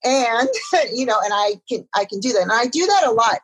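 A woman's voice: fairly high pitch, lively intonation, fairly quick, husky, low-quality recording. A woman in a casual chat, speaking openly and candidly about her feelings.

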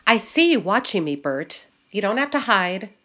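A woman's voice: mid-range voice, big pitch swings, moderate pace, very noisy, natural voice. A woman playing a sorrowful, yearning small-town wife in a radio play, plaintive and tender.

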